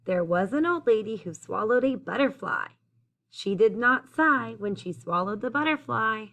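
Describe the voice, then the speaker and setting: A woman teacher reading a picture book aloud to young children in an expressive, sing-song, encouraging way. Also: fairly high pitch, moderate pace, creaky. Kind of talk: children's story read-aloud, addressing young listeners.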